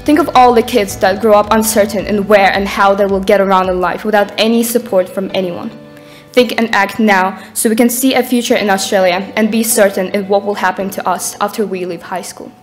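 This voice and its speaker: A woman's voice: medium pitch, fairly even intonation, brisk, soft background music. A teenage girl from Ukraine, reading an earnest prepared speech from notes at a lectern on a conference stage. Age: teens. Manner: earnest, reading from notes, rises in intensity near the end. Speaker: student speaker. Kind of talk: prepared speech read aloud. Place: conference stage in a dark hall.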